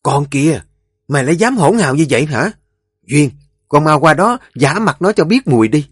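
A man voicing a stern old mistress of a household who barks orders in a shrill, commanding tone. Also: big pitch swings, brisk, dry recording, studio quality. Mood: scolding.

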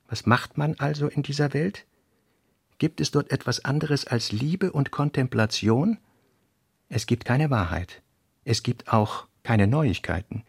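Gravelly, close-miked man reading literary notes. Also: mid-range voice, no room sound. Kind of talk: scripted literary reading for a radio play.

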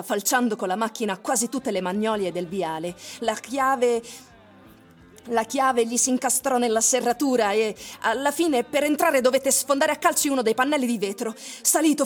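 A woman giving dramatic, literary radio narration with deliberate, suspenseful phrasing. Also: fairly high pitch. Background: music.

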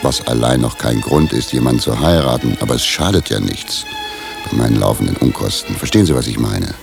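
An actor playing a self-pitying middle-aged professor who craves romance, plaintive and insistent, in a comic radio drama. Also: male voice, extremely low pitch, lively intonation, a little fast, vocal fry, music in the background, heavily acted. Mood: aggrieved.

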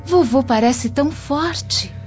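A sweet young Roman girl in a radio drama. Her voice is rather high with a husky edge, devout and earnest. It sounds dull and lo-fi. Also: slow, a little mellow. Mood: anxious. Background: music.

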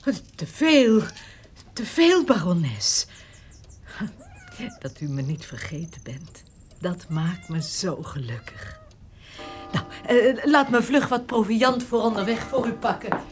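An actress playing a humble, kindly matron in a period audio drama, deferential, effusive and a little hesitant. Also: female voice, medium pitch, sing-song, takes it slowly, faint music behind. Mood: flattered, grateful. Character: humble, grateful, kindly boarding-house matron, eager to please.

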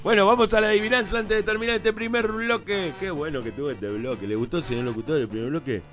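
A man's voice: high voice, fast, music in the background. A man with an Argentine accent leading students in playful, mock-formal banter on a small community radio show. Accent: Argentine Spanish. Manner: teasing, laughing, mock-formal.

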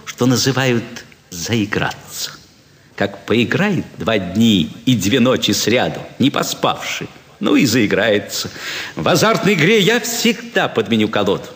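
An actor playing a boastful, cool-headed card sharp in a theatrical classic comedy, speaking in an expansive, confiding way. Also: male voice, medium pitch. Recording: stage recording with long hall reverb.

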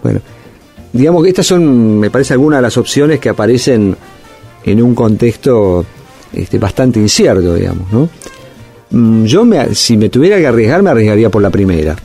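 A man's voice: mid-range voice, lively intonation, moderate pace, mellow, slightly rough, soft background music. A man, a guest analyst in a radio interview, talking thoughtfully with many fillers and hedges.

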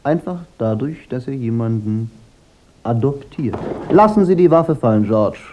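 A man playing a cool, sardonic private detective in a German radio crime drama, speaking in a dry, measured, laconic way. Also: mid-range voice, lively intonation, muffled recording, noisy background, lightly acted. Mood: controlled, accusing. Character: cool, sardonic private detective, unmasking killers with weary confidence. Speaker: detective and first-person narrator.

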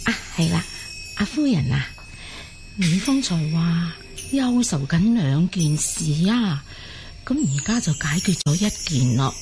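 Low-voiced chatty old Cantonese midwife. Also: female voice, lively intonation, measured pace, a little mellow, soft background music. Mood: amused, soothing. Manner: fussy, chuckling, soothing, many interjections. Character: chatty reassuring old midwife of a Mongol tribe. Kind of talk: Cantonese radio drama acting.

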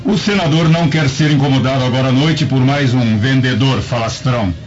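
A man's voice: mid-range voice, brisk, close to an everyday voice. A man playing a scornful palace guard in a radio drama, curt and dismissive. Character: brusque, scornful male palace sentry on guard. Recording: muffled radio drama with music.

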